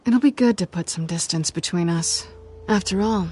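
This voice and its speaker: Sly, low-voiced female cat burglar. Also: moderate pace, a little mellow, husky. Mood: mocking. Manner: teasing, dismissive, cool. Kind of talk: video game dramatic acting. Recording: background music under the voice.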